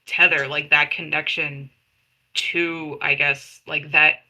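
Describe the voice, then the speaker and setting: A woman with a lowish but very bright, tense voice talks as a tabletop player. She is casual and hesitant, feeling her way through the explanation. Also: flat delivery, rough recording. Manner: halting, hesitant. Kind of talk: casual, improvised roleplay chat.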